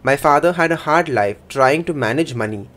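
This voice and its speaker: Man giving a measured voice-over. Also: on the high side, expressive, fairly bright tone. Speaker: voice-over interpreter for a signing speaker. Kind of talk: first-person voice-over interpretation.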